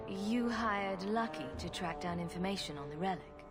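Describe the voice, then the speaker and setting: A woman's voice: medium pitch, normal speed, natural voice. A woman playing a wry, inquisitive adventurer in a video game, blunt and good-humoured. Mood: curious. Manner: wry, questioning. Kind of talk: video game hero dialogue. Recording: loud music behind the voice.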